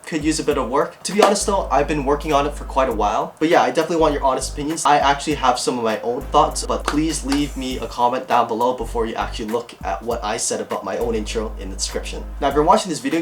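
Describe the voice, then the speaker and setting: A man's voice: medium pitch, fast talker, hardly any pauses, background noise. A young man with a Canadian accent, chatting earnestly and straight to his viewers from a green screen room at home. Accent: Canadian English. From Canada, Greater Toronto Area. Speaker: YouTuber. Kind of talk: casual direct-to-camera chat.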